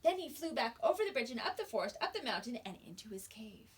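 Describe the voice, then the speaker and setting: A woman teacher reading a picture book aloud to young children with expressive storytelling and dramatic pauses. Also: a little high, husky. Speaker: kindergarten teacher.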